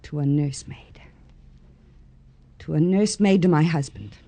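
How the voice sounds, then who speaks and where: A woman's voice: sits low, normal speed, warm tone, no echo. A woman playing a hard-edged, despairing young woman in a 1950s radio crime drama, dramatic and world-weary. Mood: bitter, despairing.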